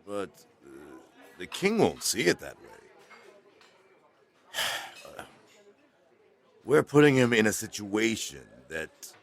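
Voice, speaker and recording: A man's voice: fairly low pitch, very animated, takes it slowly, gravelly, pauses often, soft background music, exaggerated voice. An actor playing a tipsy, conscience-stricken man in an audio drama, stumbling and hesitating over his words. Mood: troubled, wavering. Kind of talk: dramatic audio fiction acting.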